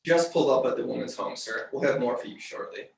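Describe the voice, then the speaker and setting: A man's voice: medium pitch, fast, vocal fry, close to an everyday voice. A man playing a businesslike, official agent in a script table read, recorded from off in a room. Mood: dutiful. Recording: roomy, distant mic, faint noise, clicks.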